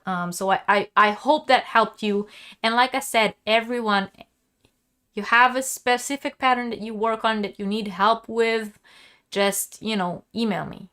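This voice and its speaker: A woman's voice: a little high, steady tone, a little slow, fairly bright tone, clean tone. A woman with a sore, fading voice hosting a live crochet lesson, chatty and answering viewers' questions. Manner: chatty, restarts often, answers viewers directly. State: sick, sore throat, losing her voice.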